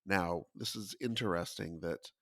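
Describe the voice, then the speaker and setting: A man's voice: sits low, a little slow, a bit bright, no echo, studio quality. A man giving explanatory podcast commentary in a conversational style, as a panelist.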